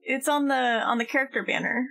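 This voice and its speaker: A young woman with a fairly high voice chats casually as she streams a game. She complains in a dramatic, playfully whiny manner, with some music playing behind her. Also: a little fast, creaky, bright, forceful tone. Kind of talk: casual gaming stream chat.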